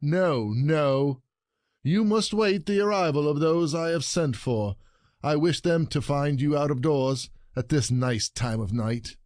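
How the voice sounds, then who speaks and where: A man's voice: fairly high pitch, measured pace, a little mellow, no room sound, natural voice. Man playing a gloating, jealous husband, close and dry. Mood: mocking, stern. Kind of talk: classic stage comedy audio play.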